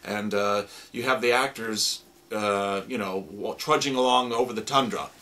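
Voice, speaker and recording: A man who produces radio drama, demonstrating sound effect gadgets in a relaxed, explanatory way with plenty of fillers. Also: on the low side, moderate pace.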